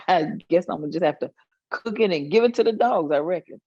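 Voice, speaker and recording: Muffled, low-voiced elderly woman played by an actress reminiscing. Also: expressive, fairly quick, dark tone, husky, no room sound. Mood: folksy, amused. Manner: folksy storytelling with hummed asides and chuckles. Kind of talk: staged reading of oral history recollections.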